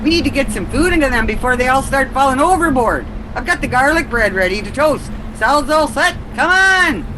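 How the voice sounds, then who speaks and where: An actress playing a brisk, bossy boat hostess in a radio play, urgent and calling out. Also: female voice, sits high, lively intonation, background noise. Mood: harried, urgent.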